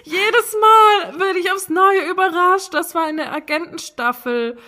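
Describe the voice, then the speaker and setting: A woman in her late twenties chatting casually as a podcast co-host, with a giggly, excitable and expressive manner. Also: very high voice, measured pace. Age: late 20s. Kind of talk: casual chat reminiscing with a co-host.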